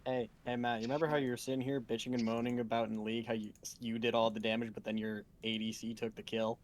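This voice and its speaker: A man's voice: medium pitch, little pitch movement, quick pace. A blunt, joking man who swears freely while chatting casually on a live tabletop game stream. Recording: clearly noisy room with keyboard typing.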